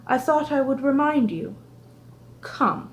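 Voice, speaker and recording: A woman's voice: high voice, animated, slow pace, a little hiss or hum, voice pushed up, full character voice. A woman reading a storybook part as a prim, imperious grandmother with a British accent and a formal, authoritative delivery. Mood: cold displeasure. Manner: authoritative, clipped, menacing formality. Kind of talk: audiobook character reading.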